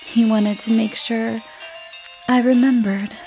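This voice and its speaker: A woman's voice: on the high side, steady tone, slow pace, dark tone, faint music behind, voice pushed up, low-quality recording. A woman voicing a frantic, panicked husband in a spoken horror story. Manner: urgent, pleading. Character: frantic husband, middle-aged man, panicked.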